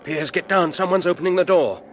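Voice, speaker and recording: An actor playing a haunted young English gentleman, anguished and fearful, with overwrought formal phrasing in a period radio drama. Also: high-pitched, brisk, close to an everyday voice. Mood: alarmed. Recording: studio radio drama with background ambience noise.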